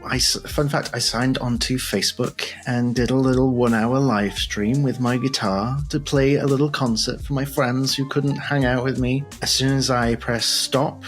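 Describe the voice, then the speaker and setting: Male podcast host, middle-pitched voice, chatty interview style with courteous, theatrical turns of phrase. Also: fairly even intonation, normal speed, few pauses, soft background music. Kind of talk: conversational interview hosting.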